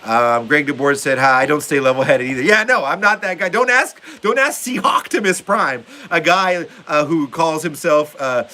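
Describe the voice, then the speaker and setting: A man's voice: fairly high pitch, normal speed, hardly any pauses, pushed and bright. A young man hosting a casual live stream from his basement, reading viewer comments aloud in a rambling, upbeat way full of filler words. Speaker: livestream host reading chat. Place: home basement. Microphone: phone.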